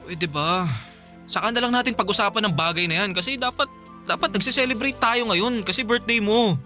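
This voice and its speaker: Wheedling, defensive boyfriend. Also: male voice, sits high, a little fast, sharp tone, faint music behind. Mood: coaxing, earnest. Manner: pleading, coaxing. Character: jobless, debt-ridden boyfriend, wheedling and defensive. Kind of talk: radio drama acting in Filipino love story.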